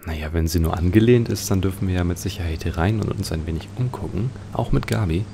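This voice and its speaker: A man's voice: low voice, fast talker, vocal fry, dark tone, a little hiss or hum. A man giving musing, speculative commentary as he plays an adventure game. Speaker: let's play host.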